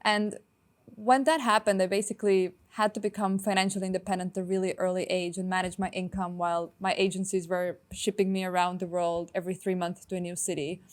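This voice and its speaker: A young woman from Estonia, a startup founder answering interview questions in a quick, upbeat way with many filler words. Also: lowish voice, little pitch movement.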